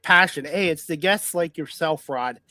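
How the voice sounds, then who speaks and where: A man's voice: a little high, normal speed, pushed and bright, dry recording. A man in his fifties with a Canadian accent, chatting casually as a talk show host. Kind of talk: casual interview chat.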